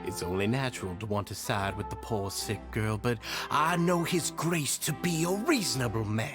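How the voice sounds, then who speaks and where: An actor with a Southern US accent playing an obsequious, manipulative society leader in a video game, elaborately polite and theatrical. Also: male voice, mid-range voice, lively intonation, moderate pace, natural voice. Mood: falsely polite. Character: slick, obsequious, manipulative society leader feigning humble courtesy. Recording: game audio under loud background music.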